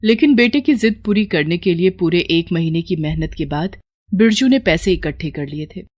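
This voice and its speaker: A woman's voice: lowish voice, lively intonation, normal speed, darkish tone. A woman narrating a scripted moral story.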